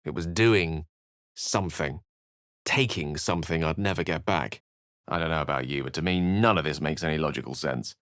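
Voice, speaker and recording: An actor playing an eloquent, theatrical and wry human wizard in a fantasy video game, with articulate, literary phrasing. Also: male voice, extremely low pitch, normal speed, fairly bright tone, no room sound, studio quality. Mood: solemn.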